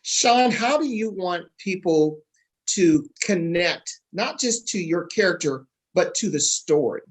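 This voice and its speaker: A man with a fairly high voice hosts an interview. He is conversational and affirming, with short murmurs of agreement. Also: animated, takes it slowly. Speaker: interviewer, host.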